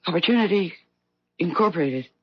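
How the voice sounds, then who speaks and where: An actress playing a blunt, bitter middle-aged wife, world-weary and dry, in a 1970s radio drama. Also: female voice, lowish voice, animated, brisk, breathy edge, dry recording. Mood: puzzled, wary. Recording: dull 1970s radio broadcast recording.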